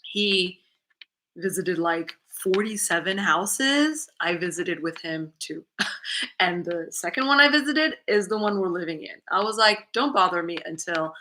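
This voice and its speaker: A woman's voice: lively intonation, a little slow, sharp tone, slight background noise. A woman chatting candidly to viewers from her living room on a livestream, reading comments aloud and laughing often. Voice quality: lower-voiced. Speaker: YouTuber hosting a livestream Q&A.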